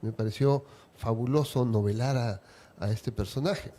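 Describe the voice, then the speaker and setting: A man from Peru, a writer, answering interview questions in a reflective, unhurried way. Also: medium pitch, warm tone. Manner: reflective, unhurried, with small restarts.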